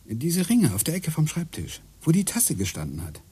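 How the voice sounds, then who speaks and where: A man's voice: a little high, huge pitch swings, normal speed, mellow, slightly rough, full character voice. An actor playing a middle-aged husband in a radio play, earnest and eager as he lectures his wife about hidden connections. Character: obsessive husband hunting coincidences.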